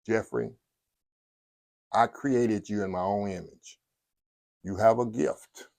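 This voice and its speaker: A man's voice: fairly low pitch, takes it slowly, vocal fry, a little mellow, dry recording. Earnest man telling his story. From Texas. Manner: earnest, repeats phrases, addresses viewers as y'all. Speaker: vlogger sharing a personal story. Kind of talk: emotional personal testimony.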